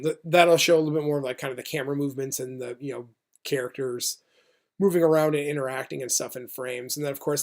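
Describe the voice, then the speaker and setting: A man's voice: a little high, a little mellow. An American man giving a casual vlog update as an animator, speaking informally in a rambling, hesitant way.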